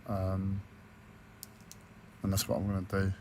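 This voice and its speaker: A man with a croaky, hoarse voice, talking hesitantly with many fillers and restarts in a personal video diary recorded on a tablet. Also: low voice, completely flat delivery, unhurried, a little mellow, slight background noise. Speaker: vlogger.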